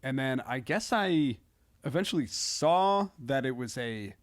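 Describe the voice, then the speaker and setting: A man's voice: medium pitch, expressive. A man speaking as a comedian guest in casual podcast chat, often restarting and trailing through half-finished phrases. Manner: halting, filler-heavy, self-interrupting.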